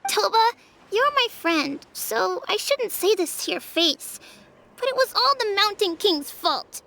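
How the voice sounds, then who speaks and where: A woman's voice: very high voice, lively intonation, unhurried, gravelly, pushed and bright, exaggerated voice, rough recording. A woman voicing a sulky, plaintive small child in an anime game. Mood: sad, resentful.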